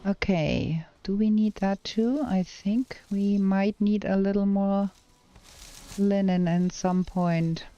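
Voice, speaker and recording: A woman's voice: mid-range voice, steady tone, warm tone, muffled recording. A German woman with a German accent, talking through a video game on stream and answering chat, thinking aloud as she plays. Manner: slow, thinking aloud while playing. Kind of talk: casual gameplay commentary with chat replies.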